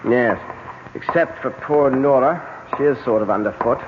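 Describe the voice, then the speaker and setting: An actor playing a doting, teasing aristocrat husband in a 1970s radio drama, courtly and evasive. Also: male voice, mid-range voice, normal speed, close to an everyday voice. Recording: muffled, hissy old radio broadcast.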